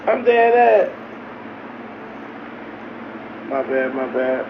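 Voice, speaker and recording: A man's voice: medium pitch, extremely slow, creaky, narrow, tinny audio, slight background noise. A man hosting a live reaction stream, talking in casual, profane banter straight to his viewers. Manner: animated, talks straight to viewers. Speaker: livestream reaction host.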